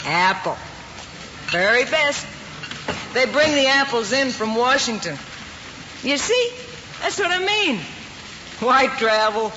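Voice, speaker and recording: Folksy diner guy, really high voice, swings up and down, old film. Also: very animated, takes it slowly, long pauses, bright, forceful tone, exaggerated voice. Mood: chatty. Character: chatty, opinionated pie counter worker. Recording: dull, noisy old film soundtrack.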